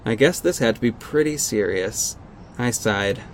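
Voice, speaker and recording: Male lead for superhero audio drama: actor plays guarded, sardonic vigilante, mid-pitched, terse, over noisy ambience. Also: lively intonation, natural voice. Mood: wry, brooding. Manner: measured, terse, exasperated. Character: guarded, sardonic costumed vigilante, heartbroken and stubborn. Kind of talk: first-person audio drama narration and dialogue.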